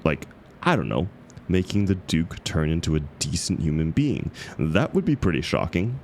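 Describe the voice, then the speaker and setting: A man's voice: low-pitched, animated, normal speed, a little mellow, a little hiss or hum. A man acting a grumpy, exasperated book club member in an audio roleplay, sarcastic, emphatic and indignant. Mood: annoyed. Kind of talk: scripted audio roleplay acted as one side of a conversation.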